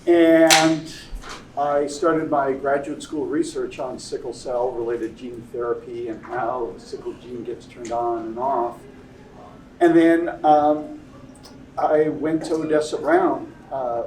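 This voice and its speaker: A man's voice: mid-range voice, darkish tone. An older man, a doctor on a panel, introducing himself in an earnest, reflective, unscripted way. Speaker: panelist, pediatric hematologist and researcher. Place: indoor event venue, panel talk. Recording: echoey room, distant mic, faint noise.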